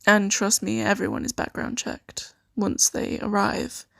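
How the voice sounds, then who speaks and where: A woman improvising an ASMR roleplay as a kindly, earnest host of a fantasy sanctuary, speaking softly and reassuringly with some hesitation. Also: mid-range voice, little pitch movement, a little slow, gravelly, dry recording, clean studio sound. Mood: welcoming, reassuring. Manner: soft-spoken, gently hesitant, reassuring.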